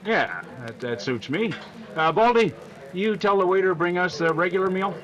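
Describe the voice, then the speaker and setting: A man's voice: sits high, animated, normal speed, a bit bright, dull audio. A man playing a nervous, evasive outlaw henchman in a radio drama, hesitating and repeating his words. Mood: insistent. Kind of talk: old-time radio drama acting.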